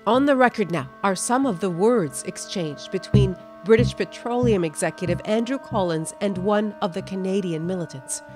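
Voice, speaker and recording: A woman news correspondent reading a scripted documentary report in a measured, dramatic storytelling way. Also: mid-range voice. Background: music bed under the voice-over.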